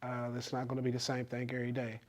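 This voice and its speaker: A man's voice: mid-range voice, completely flat delivery, fast. A man, a guest on a casual interview, talking reflectively and easily about patience and love. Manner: reflective, easygoing.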